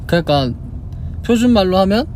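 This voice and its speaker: A man's voice: high-pitched, moderate pace. A young man from Busan with a Busan Korean accent, chatting casually in a livestream, answering fan questions and demonstrating dialect phrases. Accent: Busan Gyeongsang Korean. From Busan, South Korea. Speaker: idol livestream host. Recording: steady car noise behind.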